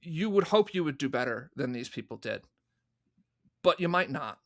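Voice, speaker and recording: Thoughtful man with a bright, higher-pitched voice. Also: lively intonation, normal speed. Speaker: interview guest, audio drama producer and writer. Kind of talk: conversational interview, explaining creative choices.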